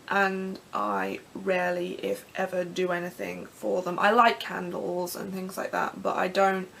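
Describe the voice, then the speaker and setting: A young Englishwoman with a croaky throat, rambling casually through vlog questions from her bedroom with plenty of ums and restarts. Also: fairly low pitch, fairly bright tone, a little hiss or hum. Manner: chatty, self-deprecating, many ums and restarts. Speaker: pagan vlogger. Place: bedroom in front of her altar.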